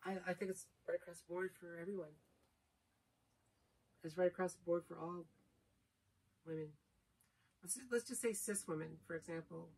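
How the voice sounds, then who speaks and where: Husky, reflective woman, heard from afar. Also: on the low side, steady tone, normal speed, pauses often, a little mellow. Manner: reflective, analytical, searching for words. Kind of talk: casual conversation with partner. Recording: distant mic.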